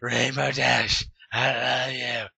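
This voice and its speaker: A man's voice: medium pitch, little pitch movement, slow, creaky, bright, forceful tone, husky, no echo, pitched above the performer's own voice, full character voice. A man doing an earnest unicorn pony girl. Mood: urgent. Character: bookish young female unicorn pony, earnest.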